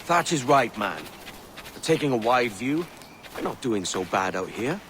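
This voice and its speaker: An actor voicing a brash, easygoing young pirate captain in a video game cutscene. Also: male voice, mid-range voice, big pitch swings, a little slow, fairly bright tone, a little hiss or hum. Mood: persuasive.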